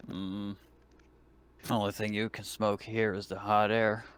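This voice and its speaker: A man's voice: lowish voice, little pitch movement, measured pace, fairly bright tone, clear, resonant tone. A man playing a tabletop role-playing game, speaking casually in an understated, polite and laconic way.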